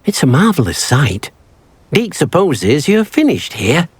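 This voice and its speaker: A man's voice: a little high, huge pitch swings, unhurried, husky, no room sound, exaggerated voice. An actor playing a small, eager male house elf in a video game, who talks of himself in the third person in a fussy, polite way. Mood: helpful. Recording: faint game music behind.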